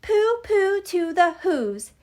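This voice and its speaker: Storybook villain, played by a woman: sly grumpy creature, gloating and theatrical, high-pitched, unhurried scheming delivery. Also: sing-song, darkish tone, full character voice.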